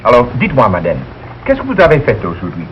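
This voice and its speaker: An actor playing a suave, effusive French tutor with a French accent in a 1940s radio sitcom, in broken English sprinkled with French. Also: male voice, mid-range voice, huge pitch swings, rapid-fire. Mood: brisk, cheerful. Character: suave, eager French language tutor, flattering and excitable. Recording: dull, noisy old radio broadcast.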